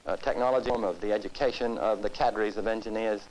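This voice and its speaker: A man from the United States giving serious interview commentary, speaking deliberately in formal, rhetorical phrases. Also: on the low side, steady tone, a little mellow, a little hiss or hum, low-quality recording. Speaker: expert interviewee.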